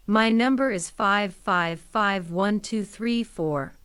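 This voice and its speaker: A woman reading short English phrases one at a time for a language lesson, in a measured, scripted way. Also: medium pitch, fairly bright tone, dry recording. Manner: clear, measured prompts with pauses.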